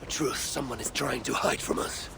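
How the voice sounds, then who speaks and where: An actor playing a proud, obsessive warrior god in a game cutscene, speaking in a solemn, stubborn, declamatory way with a gravelly voice. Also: male voice, mid-range voice, moderate pace. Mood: resolute, solemn. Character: proud, obsessive warrior god seeking his lost son. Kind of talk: dramatic video game cutscene acting. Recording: loud background noise of game ambience.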